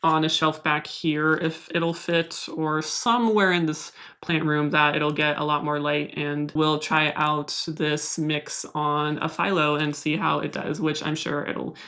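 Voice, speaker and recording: Low-voiced woman chatting over plant chores. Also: flat delivery, unhurried, few pauses. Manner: soft, candid, confiding. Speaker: plant vlogger. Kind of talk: casual voice-over while repotting plants.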